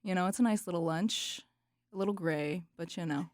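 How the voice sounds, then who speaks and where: A woman's voice: fairly low pitch, a little fast, darkish tone, no room sound. A young woman from North Carolina, lead singer of a student band, telling stories in a playful, dramatic way. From Charlotte, North Carolina. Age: young adult. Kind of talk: casual interview storytelling.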